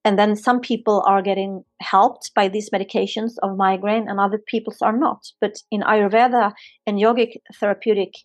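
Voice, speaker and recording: A woman's voice: mid-range voice, flat delivery, moderate pace, dry recording. A woman from Sweden with a Swedish accent, a yoga therapist and physiotherapist giving earnest, flowing answers in a podcast interview. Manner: earnest, flowing, repeats herself for emphasis.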